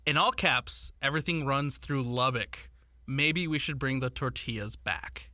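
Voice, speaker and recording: A man's voice: mid-range voice, unhurried, pushed and bright, dry recording, clean studio sound. A man on a sports radio show, giving an update and reading a post on air.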